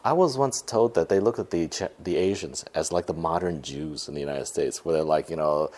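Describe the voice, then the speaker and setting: A man's voice: a bass voice, a little fast, darkish tone. A man working as a radio host, doing interviews and paying effusive compliments. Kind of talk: on-location interview.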